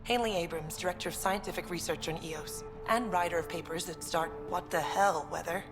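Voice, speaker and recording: Music plays under a low, reverberant voice: an actress as a determined female colony scientist in a sci-fi game. She talks with informal confidence and plain earnestness. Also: a little fast, close to an everyday voice. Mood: brisk, confident. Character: adult woman, upbeat determined colony research scientist. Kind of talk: video game dialogue. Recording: long reverb, music behind.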